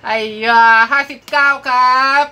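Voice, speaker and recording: A man's voice: normal speed, bright, forceful tone. A middle-aged man with a Thai accent making ham radio contact calls, spelling out callsigns in phonetic alphabet. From Thailand. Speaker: amateur radio operator. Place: small cluttered indoor radio room. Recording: very noisy shack recording, radio hiss. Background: radio static and noise.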